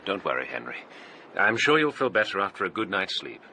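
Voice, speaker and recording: An actor playing a polite, level-headed gentleman friend with an English accent in a radio drama, formal and courteous. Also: low-pitched, sing-song, fairly quick, a little hiss or hum, heavily acted. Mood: reassuring.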